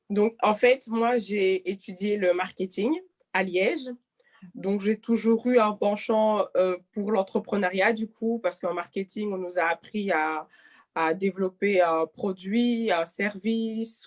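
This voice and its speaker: Woman late 20s, interview guest, chatty answers, keeps restarting her phrases, medium pitch. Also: fairly even intonation, measured pace. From Belgium. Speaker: interview guest, clothing e-shop founder.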